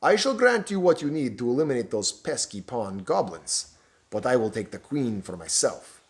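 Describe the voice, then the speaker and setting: A man's voice: mid-range voice, big pitch swings, normal speed, lightly acted. A man voicing a thin, pale, sinister stranger with a Romanian accent, speaking in a cold, hard, hissing way. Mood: menacing.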